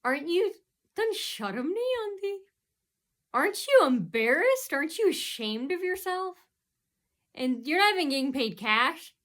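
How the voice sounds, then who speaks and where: A woman from the United States rambles through a casual vlog monologue, her voice bright and forceful and pitched a little high. She fills every gap with um and uh and keeps starting over with "so anyway," drifting from point to point. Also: very animated, a little slow. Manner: meandering, keeps restarting with "so anyway"; heavy fillers, um and uh. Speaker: vlogger.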